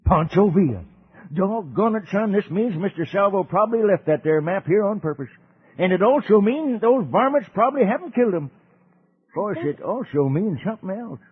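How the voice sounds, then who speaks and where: Folksy old cowboy uncle, high lively voice, rustic drawl, children's radio serial, dull vintage recording. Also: male voice, moderate pace, slightly rough. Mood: curious, excited. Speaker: narrator and character in a children's serial. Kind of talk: 1940s-50s radio western serial acting.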